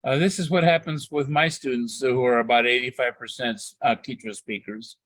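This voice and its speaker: A man interpreting a discussion into English, steady, with occasional restarts. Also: mid-range voice, moderate pace, no echo.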